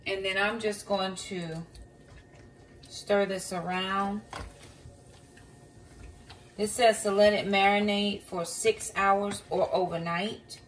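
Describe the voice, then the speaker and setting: A woman's voice: medium pitch, fairly even intonation, slow, long pauses. A woman, a mom vlogger, talking through a casual cooking walkthrough in her home kitchen, explaining each step with a few ums. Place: home kitchen counter indoors. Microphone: phone camera pointed down at the counter. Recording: voice a bit far from the mic, faint background noise.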